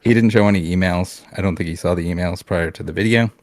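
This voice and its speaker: Low-voiced blunt man ranting. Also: fast talker, dry recording, clean studio sound. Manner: blunt, heated ranting with swearing and restarts. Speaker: podcast co-host. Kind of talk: casual podcast chat.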